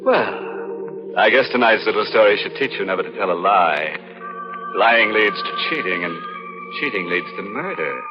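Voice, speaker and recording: Guy doing a creepy teasing radio horror host, low sardonic voice, music behind, dull old recording. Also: moderate pace, lightly acted. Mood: mocking. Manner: sardonic, mock-moralizing, teasing. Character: sardonic, ghoulishly playful male horror host. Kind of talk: 1940s radio horror hosting. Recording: muffled old radio broadcast.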